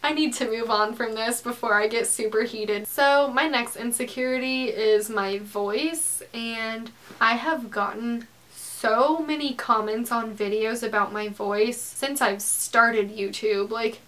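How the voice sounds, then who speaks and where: A teenage girl talking straight to the camera in her bedroom, casual and frank, with a flat, monotone delivery, lots of "like" and some swearing. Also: fairly high pitch, measured pace, hardly any pauses. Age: teens. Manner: frank, heated, peppered with "like" and swearing. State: tearful. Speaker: YouTuber. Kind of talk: casual confessional vlog. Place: bedroom, indoors.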